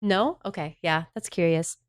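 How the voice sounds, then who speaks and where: A woman's voice: lowish voice, normal speed, dry recording, studio quality. A woman from the Southern United States chatting casually on a livestream, rambling and answering her viewers' chat messages.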